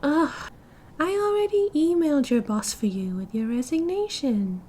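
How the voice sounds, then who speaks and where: A woman's voice: high voice, very animated, darkish tone, a little hiss or hum. A British woman playing a cheerful, oblivious and possessive yandere girlfriend in an ASMR roleplay, with a breezy, giggly, dramatic manner. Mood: dismissive, possessive. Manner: giggly, breezy, dramatic, chatty with drawn-out casual delivery.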